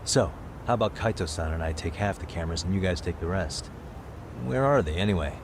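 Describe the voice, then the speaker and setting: A man's voice: sits low, a little fast, background noise. A man playing a shrewd detective with casual, dry humor in a video game dub. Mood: practical, wry.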